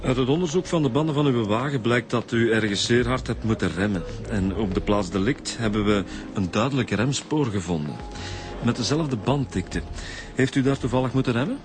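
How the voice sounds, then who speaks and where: Methodical male detective, played by an actor, medium pitch, factual questioning, radio drama with music behind. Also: fairly quick, lightly acted. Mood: probing. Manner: matter-of-fact. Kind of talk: naturalistic radio drama acting.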